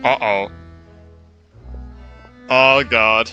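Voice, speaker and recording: A man's voice: medium pitch, fairly even intonation, extremely slow, bright, forceful tone, dull audio. A man giving casual, reactive, informal commentary as he streams a video game. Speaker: gaming streamer. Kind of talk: casual let's play commentary. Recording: game music behind.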